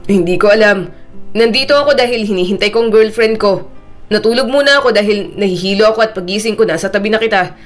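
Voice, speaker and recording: Woman voicing a bewildered, considerate young man, firm and loud. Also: quick pace, soft background music, natural voice. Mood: confused, accusing. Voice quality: deep. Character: young man, deep-voiced boyfriend type, bewildered but considerate.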